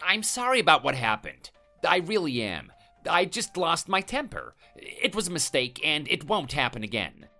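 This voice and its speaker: A man's voice: on the high side, huge pitch swings, normal speed, pushed and bright, faint music behind, voice pushed up, full character voice. A man voicing a cowardly male neighbor who stammers and pleads, apologizing over and over. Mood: grovelling.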